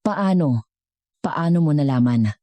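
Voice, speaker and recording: A woman storyteller plays a scheming corporate heir, a man on the defensive and full of indignation. The voice is mellow and dryly recorded, in audio storybook style. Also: low-pitched, expressive, a little slow, no room sound. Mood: shaken. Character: scheming, defensive male vice president, chairman's son.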